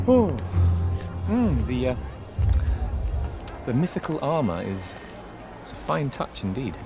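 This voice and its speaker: An actor playing a smug, amused Roman arena master with a mocking, drawling, theatrical way of talking in a video game. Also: male voice, mid-range voice, huge pitch swings, slow, long pauses, exaggerated voice. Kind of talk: theatrical game cutscene dialogue. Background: clear music.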